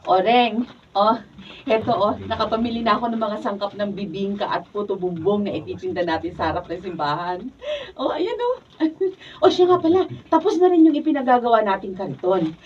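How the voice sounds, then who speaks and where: A woman's voice: medium pitch, lively intonation, a little fast, lightly acted. A woman with a Filipino accent acting in a radio drama as a chatty, caring friend. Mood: cheerful. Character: chatty, caring female friend and vending partner. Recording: distant, muffled, noisy room recording.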